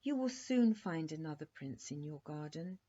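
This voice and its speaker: A woman voicing a practical, consoling queen mother in a storybook, gentle and reassuring. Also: deep voice, animated, normal speed, husky. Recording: dull lo-fi recording.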